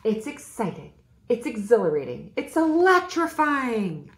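A woman voicing an excitable, theatrical young female dinosaur-unicorn for a picture-book story. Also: fairly high pitch, unhurried, reverberant, pitched above the performer's own voice. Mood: excited. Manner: theatrical, exclamatory.

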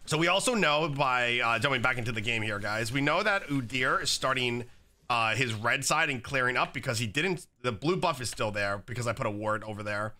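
Young guy gaming, bit raspy from being sick, super bright voice, lots of likes and ums. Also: medium pitch, lively intonation, fairly quick. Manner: casual, chatty, many fillers like "like" and "um". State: slightly raspy, recovering from illness. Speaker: gaming YouTuber. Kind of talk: gameplay commentary. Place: home gaming setup, indoors.

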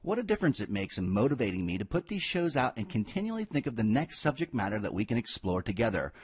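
Upbeat husky male podcast host. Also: medium pitch, very animated, fast, no echo. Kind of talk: scripted show intro.

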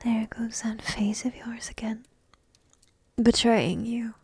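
A woman playing a young dragon princess in an ASMR roleplay, coy, teasing and lovestruck, half-whispering in a soft, intimate, breathy way. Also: a little high, fairly even intonation, slow pace, no room sound, exaggerated voice. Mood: playful teasing.

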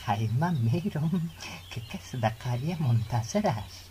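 A man's voice: mid-range voice, animated, warm tone, slight background noise, natural voice. An actor playing a courteous middle-aged village father in a radio drama, with an Afghan Dari accent. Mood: eager. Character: courteous village father seeking a bride for son.

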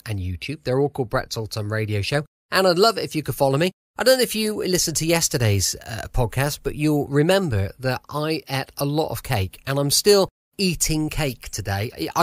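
A chatty English man introduces his podcast in an informal way. His voice is fairly high and very lively in pitch, recorded dry and close. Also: dry recording. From England. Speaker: podcast host.

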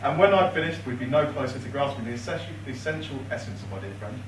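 A young man delivers a best man's speech with a dry, teasing wit, and his pitch rises and falls a lot. He sounds far from the camera in an echoey reception hall. Guests laugh behind him over clear room noise. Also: expressive, fast. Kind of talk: wedding speech read from notes. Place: large reception room with high ceiling, indoors. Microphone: far camera across the room, no mic. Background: guests laughing, room ambience.

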